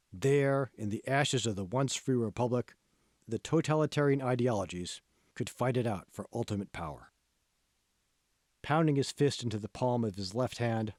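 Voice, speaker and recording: A man narrates a novel in a scripted audiobook style. His voice sits at a medium pitch, and the recording is dry, with no room sound. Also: a little mellow, no echo. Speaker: author reading own audiobook.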